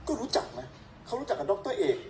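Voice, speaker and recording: A man giving chatty, colloquial news commentary, addressing viewers directly. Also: fast talker. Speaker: news presenter. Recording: roomy echo, distant mic, faint noise.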